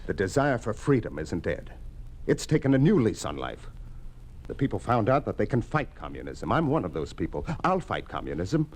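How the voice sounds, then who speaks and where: An actor playing a defiant, hot-tempered senator in a 1950s radio drama, earnest and challenging. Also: male voice, fairly low pitch, very animated, normal speed, a little mellow. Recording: old radio recording with hiss.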